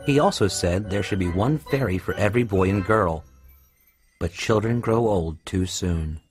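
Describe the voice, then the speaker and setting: A man's voice: low-pitched, normal speed. A man narrating a scripted children's storybook tale. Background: music.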